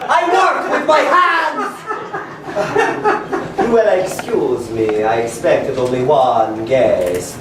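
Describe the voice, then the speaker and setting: A man performing a pompous, short-tempered mad scientist baron in a campy staged reading, declaiming and erupting into shouts. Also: medium pitch, sing-song, takes it slowly. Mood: haughty, irritable. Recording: distant mic, noisy echoey live room.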